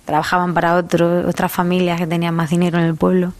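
A young woman from Albacete with a Manchego accent and Murcian touches, chatting in an interview, full of restarts and quick laughs. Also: fairly low pitch, monotone. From La Mancha, Albacete, Spain. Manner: chatty, many restarts and fillers, laughs easily. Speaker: singer, interviewee. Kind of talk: casual interview chat.

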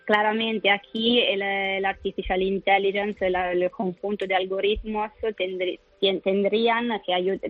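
A woman's voice: medium pitch, level tone, measured pace, hardly any pauses, no room sound. Italian-accented woman on a phone line. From Italy. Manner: hesitant, many fillers and restarts. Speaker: company founder guest. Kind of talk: radio phone interview. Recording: tinny phone line under music.

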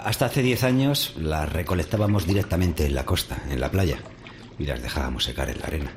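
An actor with a Castilian Spanish accent playing a world-weary middle-aged seaweed farm worker in conversational radio drama. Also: male voice, rumbling low, expressive, brisk. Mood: explanatory. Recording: faint background noise and ambience.

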